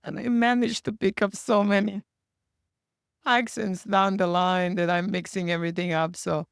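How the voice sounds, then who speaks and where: A speaker from Serbia talks English with a Serbian accent, in a casual, lively way. The voice has a gravelly edge but stays smooth and clear. The recording is dry and close. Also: mid-range voice, dry recording. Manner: lively and self-deprecating, with quick laughs. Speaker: operations team member, guest. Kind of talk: casual conversation.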